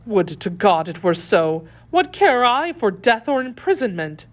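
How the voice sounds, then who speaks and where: Woman as captive lady, raised pitch, scheming, imploring, piously despairing, theatrical audiobook delivery. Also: very animated, unhurried. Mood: anguished. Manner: melodramatic, imploring. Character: scheming noblewoman prisoner feigning pious despair. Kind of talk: dramatic audiobook character reading.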